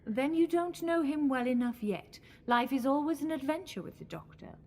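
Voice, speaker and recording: A woman's voice: high-pitched, animated, a little mellow. A woman playing an imperious, scheming false goddess in an audio drama, commanding and condescending. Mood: silky scheming. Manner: commanding, slow condescending endearments.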